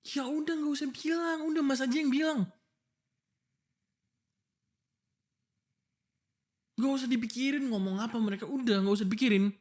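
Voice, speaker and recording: A man's voice: extremely high pitch, quick pace, darkish tone. A man acting an exasperated, protective young fiancé in a boyfriend ASMR roleplay, pleading and scolding informally. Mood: weary, frustrated. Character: exasperated, protective young fiancé arguing before his wedding. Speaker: voice actor. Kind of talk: boyfriend ASMR roleplay, one-sided dialogue.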